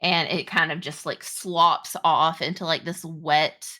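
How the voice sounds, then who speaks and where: A woman game master improvising narration and rules in a halting, conversational way. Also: deep voice, steady tone, sharp tone. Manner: halting, conversational, pieced-together descriptions. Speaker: game master of a tabletop roleplaying game.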